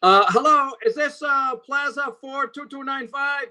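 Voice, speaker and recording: A woman's voice: sits high, unhurried, pushed and bright, heavily acted. A woman playing a polite, matter-of-fact telegraph clerk in an old-time radio drama, formal and slightly hesitant, with clipped phrasing. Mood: businesslike, neutral.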